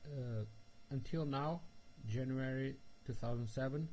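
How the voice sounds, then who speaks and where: Slow, careful man. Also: mid-range voice, darkish tone, a little hiss or hum. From Portugal. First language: Portuguese. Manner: slow, careful speech with frequent hesitations. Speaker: software developer presenting a tutorial. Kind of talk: recorded technical presentation.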